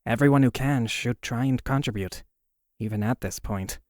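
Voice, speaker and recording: A man voicing a mild, diplomatic young cartoon teammate who reasons gently. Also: medium pitch, moderate pace, dry recording, studio quality, lightly acted. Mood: reasoning.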